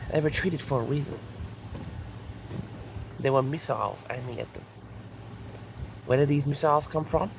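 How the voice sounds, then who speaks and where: A man's voice: mid-range voice, vocal fry, background noise. A man delivering a mock-serious, grandiose monologue, repeatedly addressing his audience with self-important certainty. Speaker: host of a comedic audio log.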